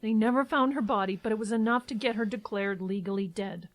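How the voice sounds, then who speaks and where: An actress playing a worried, exasperated adult daughter and caregiver in an audio drama, speaking emphatically. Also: female voice, mid-range voice, lightly acted. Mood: somber, slow. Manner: brisk, emphatic, fraught.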